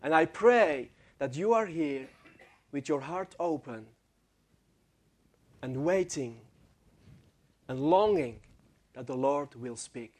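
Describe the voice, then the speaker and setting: A young man with an Armenian accent, preaching a sermon in halting English, with many fillers and restarts and some joking with the congregation. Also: a little high, lively intonation. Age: young adult. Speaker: guest preacher.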